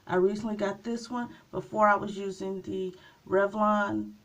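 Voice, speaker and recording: A woman chatting casually through a makeup tutorial, her voice slightly raspy from a coming cold, unhurried and trailing off with small pauses. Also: mid-range voice, fairly even intonation, rough recording. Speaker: beauty vlogger.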